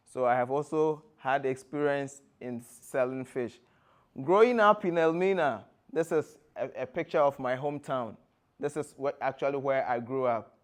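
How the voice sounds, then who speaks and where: A man from Ghana with a Ghanaian accent, giving a presentation in a deliberate, explanatory way, phrase by phrase. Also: medium pitch, clear, resonant tone. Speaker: guest speaker, former tour guide. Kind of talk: presentation to an audience with slides.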